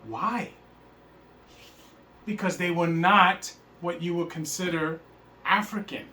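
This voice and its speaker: A man's voice: sits high, fairly even intonation, slow, bright, forceful tone, reverberant. A man teaching a religious class, emphatic and repeatedly checking that his listeners understand. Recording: faint hiss, speaker far from mic.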